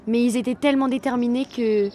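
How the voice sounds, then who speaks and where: A woman's voice: on the high side, fairly even intonation, a little fast, warm tone, a little hiss or hum, lightly acted. An actress playing a thoughtful young granddaughter in natural audio drama dialogue, with faint ambience around her. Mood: pensive.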